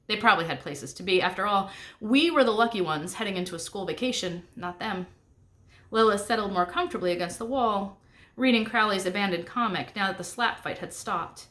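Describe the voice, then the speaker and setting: A woman's voice: mid-range voice, fairly bright tone. A woman reads a children's novel aloud in a mid-range voice. She narrates expressively in the first person, with a snarky, storytelling manner. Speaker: narrator reading a book aloud. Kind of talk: expressive middle grade audiobook narration.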